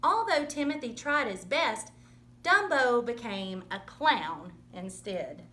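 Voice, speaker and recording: A woman reading a children's story aloud in an expressive, dramatic storytelling manner. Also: a little high, slow. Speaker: storybook reader.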